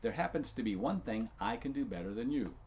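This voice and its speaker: A man's voice: lowish voice, lively intonation, a little fast, lightly acted. A plucky, clever young girl, as a storytelling man puts her on talks back in a level, matter-of-fact way. The recording sounds dull and lo-fi. She never sounds scared. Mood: confident.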